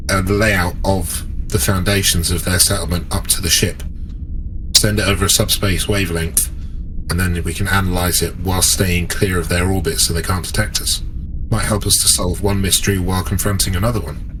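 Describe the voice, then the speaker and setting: A man's voice: low-pitched, fairly bright tone, low-quality recording. A man playing an earnest, principled starship commander in a tabletop sci-fi game, formal and deferential as he reasons aloud. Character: earnest, principled male starship commander advising his captain. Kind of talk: improvised sci-fi tabletop roleplay. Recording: faint background noise.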